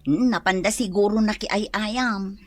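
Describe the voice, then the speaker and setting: A woman's voice: mid-range voice, moderate pace, lightly acted. A woman with an Ilocano accent playing a meddling neighbour who chides and teases in a comedy radio drama. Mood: gossipy.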